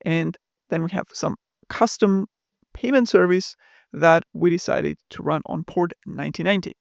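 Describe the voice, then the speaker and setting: A man narrating a scripted technical tutorial, explaining smoothly and evenly. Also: a little high, moderate pace, no room sound, dull audio. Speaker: course instructor.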